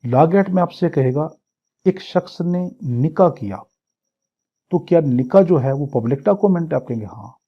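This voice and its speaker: A dull, lo-fi recording of a man with a Pakistani accent giving an exam-prep lecture. His voice is rough and husky. He teaches didactically, setting out questions and supplying the answers himself. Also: on the high side, lively intonation, moderate pace. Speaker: law lecturer. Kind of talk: exam-prep lecture, reading English clauses and explaining them.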